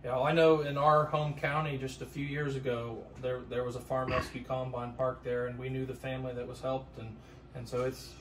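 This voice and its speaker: A man's voice: medium pitch, flat delivery, a little fast, distant mic. A young man hosts an interview, asking friendly questions with small restarts. He is heard from off the mic in an echoey room, with faint noise behind him. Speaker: host, interviewer.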